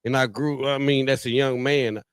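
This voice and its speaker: A man's voice: mid-range voice, fairly even intonation, normal speed, clean tone, dry recording, studio quality. An American man hosting a podcast talk show, chatting casually in slang, effusive and often repeating his phrases. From Tennessee and California's Bay Area, USA.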